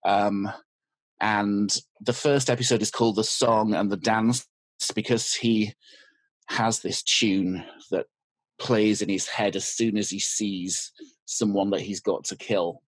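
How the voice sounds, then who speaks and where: Low-voiced Englishman, hesitant podcast guest. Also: fairly even intonation, slow, slightly rough, no echo, poor audio. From England. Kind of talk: casual interview chat.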